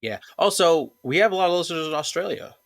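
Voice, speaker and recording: A man's voice: on the high side, animated, fast talker, gravelly. A man co-hosting a podcast, laid-back, with short reactions and dry jokes. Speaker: podcast co-host. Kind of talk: casual podcast chat.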